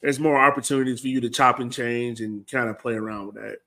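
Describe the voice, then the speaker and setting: A man with an average-pitched voice talks sports in a casual, conversational way. He sounds like a co-host on a fan show, thinking out loud and tossing out questions for discussion. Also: little pitch movement, quick pace.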